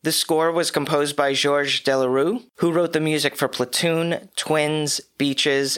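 A man hosting his own podcast, reading a scripted movie review with dry, wry asides, his voice a little hoarse from a cough. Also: on the high side, bright, forceful tone. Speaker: podcast host.

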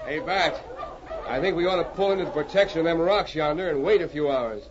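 A man's voice: fairly high pitch, a little fast, background noise, lightly acted. An actor playing a wary, uneasy outlaw henchman with folksy Western speech in a 1940s radio drama, on a dull old recording.

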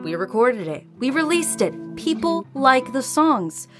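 Actress as a lively, defiant young bandmate. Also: female voice, sits high, measured pace, over music. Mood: insistent, pleading. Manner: flippant, insistent, slangy. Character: defiant, sarcastic young woman in a local band. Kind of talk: casual audio drama dialogue.

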